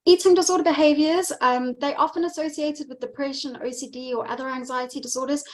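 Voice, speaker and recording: A woman's voice: sits high, steady tone, fast, rough recording. South African woman giving an earnest talk. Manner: earnest, repeats words for emphasis. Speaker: dietitian giving a webinar. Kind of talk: presentation talk.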